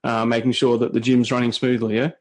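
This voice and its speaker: A male podcast host who keeps up a steady stream of short affirmations while his guest talks. He asks long, searching follow-up questions. His pitch is ordinary for a man. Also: fast talker. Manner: attentive, frequent short backchannels. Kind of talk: casual interview.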